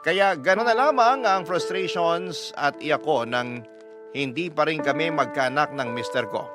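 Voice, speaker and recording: A man's voice: a little high, measured pace. A man narrating a scripted, confessional letter in the first person for a radio story. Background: background music.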